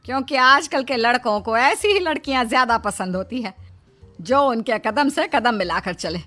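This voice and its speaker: A woman's voice: fairly high pitch, expressive, normal speed, bright, forceful tone, faint music behind. A woman voicing an older mother-in-law in a cartoon, talking in a fretful, chatty way. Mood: worried. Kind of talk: cartoon voice acting.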